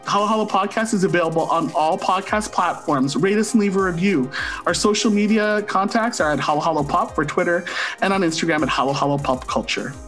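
A man's voice: high-pitched, a little fast, fairly bright tone, soft background music. A man co-hosting a podcast, giving an enthusiastic, gushing review in a chatty style.

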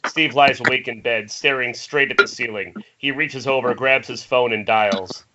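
A man's voice: mid-range voice, normal speed. A man narrating, reading out screenplay stage directions in a table read. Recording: muffled internet call, faint noise.

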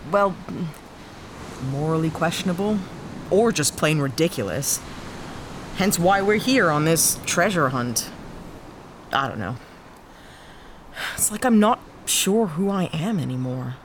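A woman's voice: low voice, a little hiss or hum. A woman playing a worn-out ex-cop, candid and rueful. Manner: candid, rueful, self-deprecating. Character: weary, disillusioned former cop turned freelancer. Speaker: lead character. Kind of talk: audio drama acting.

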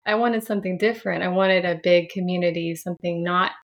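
A woman's voice: fairly low pitch, fairly even intonation, fairly quick, highs cut off. Reflective woman in her thirties. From Franklin, Tennessee, USA. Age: 30s. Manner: reflective, many restarts and soft laughs. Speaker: podcast guest, sound healer. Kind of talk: casual interview chat.

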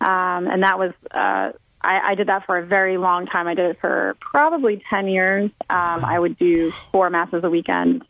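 Chatty woman guest, low voice, heard over a thin phone line. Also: normal speed, dry recording. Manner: chatty, frequent ums and restarts. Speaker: guest singer. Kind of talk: casual interview chat. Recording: tinny phone-line audio.

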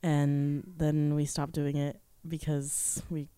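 A woman's voice: sits low, monotone, takes it slowly, dark tone, clean tone, dry recording, clean studio sound. A woman co-hosting a podcast at home, sounding stuffy as she gets over a sore throat, chatting casually with giggles and teasing. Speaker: podcast co-host. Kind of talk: casual chat. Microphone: shared handheld mic.

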